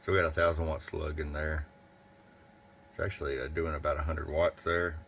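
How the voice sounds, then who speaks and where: A man's voice: rumbling low, level tone, fairly quick, a bit bright, dull audio. A man giving a casual bench review of gear, plain-spoken and thinking aloud as he goes. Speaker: product reviewer. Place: indoor electronics workbench. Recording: faint background noise.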